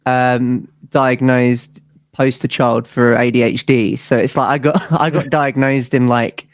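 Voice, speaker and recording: A man's voice: medium pitch, flat delivery, measured pace, no echo. A man with a southern English accent chatting casually as a musician being interviewed, rambling and self-deprecating. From southern England, UK. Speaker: interview guest, band musician. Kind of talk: casual chat.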